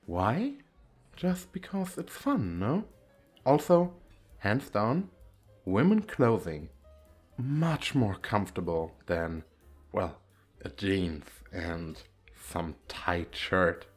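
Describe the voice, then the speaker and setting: Playful femboy flirt played by a man for ASMR roleplay: expressive swooping pitch, slow pace, coy, effeminate, teasing. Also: soft background music, exaggerated voice. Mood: flattering, playful. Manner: lilting, effeminate, giggly, coy.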